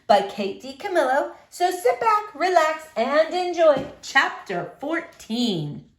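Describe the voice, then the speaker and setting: A woman's voice: high-pitched, very animated, slow pace. A woman reading a children's novel aloud as a storyteller addressing young listeners. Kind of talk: expressive children's novel narration.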